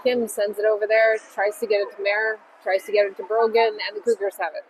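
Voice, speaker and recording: A woman's voice: mid-range voice, flat delivery, brisk. A woman doing casual play-by-play at a hockey game, cheering on her own team. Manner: chatty, rooting for the home team. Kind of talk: amateur play-by-play commentary. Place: ice rink. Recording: noisy rink ambience.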